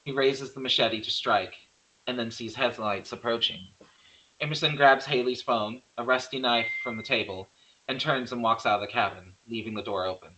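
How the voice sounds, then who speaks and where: A man's voice: mid-range voice, normal speed, pushed and bright, a little hiss or hum, poor audio. A man reading out screenplay stage directions as a narrator.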